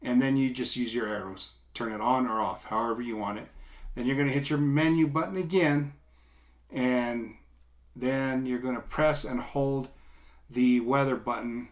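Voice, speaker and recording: Man, middle-aged, mid-pitched voice, informal, halting delivery, casual unscripted demo, product reviewer. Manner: informal, halting step-by-step explaining. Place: small indoor room, seated at a table.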